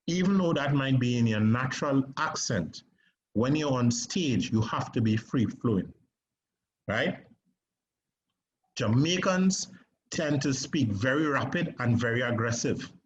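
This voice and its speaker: A man with a Trinidadian accent teaching a stage acting class, lively and often asking "right?". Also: mid-range voice. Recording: slightly dull recording with faint noise.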